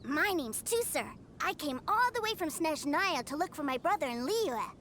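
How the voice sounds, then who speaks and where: A woman's voice: big pitch swings, moderate pace, a bit bright, a little hiss or hum. A woman voicing a small, proud and trusting little boy in a video game, earnest and childlike. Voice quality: high childlike voice. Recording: game music behind.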